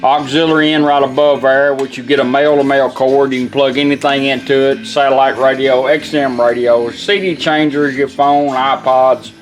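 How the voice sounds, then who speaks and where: A man's voice: medium pitch, level tone, normal speed, few pauses, faint music behind. A man giving a casual, folksy product demonstration, explaining things step by step in an informal way. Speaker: radio restorer demonstrating a product.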